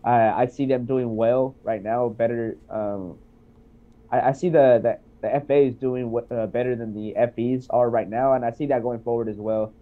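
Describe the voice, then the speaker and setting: A man's voice: mid-range voice, steady tone, normal speed. Stuffed-up guy on a video call, lots of ums, sounds all phone-tinny. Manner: hesitant, many ums. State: congested from being sick. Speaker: guest. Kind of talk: casual chat on a call.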